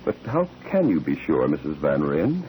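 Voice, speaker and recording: Brief: courteous sceptical lawyer, deep voice, measured forties radio delivery, faintly noisy recording. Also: male voice, wildly swooping pitch, mellow, heavily acted. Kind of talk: 1940s radio acting.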